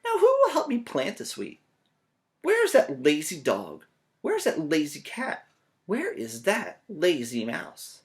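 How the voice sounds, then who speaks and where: A man's voice: fairly high pitch, huge pitch swings, a little slow, full character voice. A man voicing a needy, persistent little red hen from a storybook, asking plaintively and insistently.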